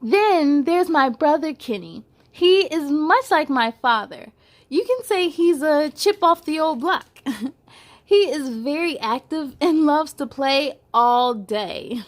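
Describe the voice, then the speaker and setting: A woman reading a picture book aloud to children in an animated, playful way, adding chatty little asides. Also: high-pitched, slow pace. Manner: playful, giggly, animated storytelling delivery.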